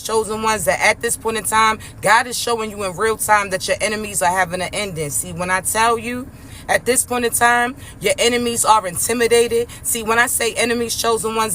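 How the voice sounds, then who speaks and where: A speaker with a raspy voice giving an emphatic motivational spiritual message to viewers, often checking in with the listener. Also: medium pitch, sharp tone, a little hiss or hum. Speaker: spiritual message content creator.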